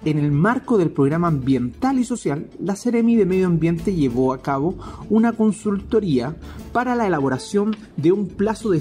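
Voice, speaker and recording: Low, mellow female newsreader. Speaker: news reporter. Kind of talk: scripted news report. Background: faint music.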